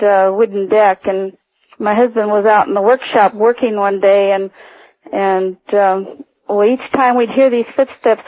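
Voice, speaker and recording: An older woman calling a radio show by phone, recounting personal stories in a hesitant, halting way. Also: mid-range voice. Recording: narrow tinny phone line.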